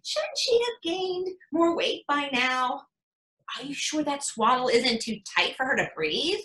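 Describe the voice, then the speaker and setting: A woman's voice: high voice, sing-song, measured pace, a bit bright, reverberant, pitched above the performer's own voice, exaggerated voice. A woman voicing an anxious, overprotective older mother, fretful and pleading in a melodramatic stage-monologue way. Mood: nagging, alarmed. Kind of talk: theatrical monologue character.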